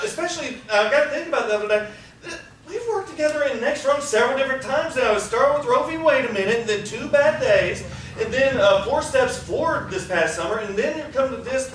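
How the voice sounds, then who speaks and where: A man's voice: extremely high pitch, fairly bright tone. A man, chatting with a live theater audience as he gives house notes and introduces the cast, laughing between remarks. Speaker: producer introducing a table reading. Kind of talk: live casual announcements to an audience. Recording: very echoey room, distant mic, audible noise.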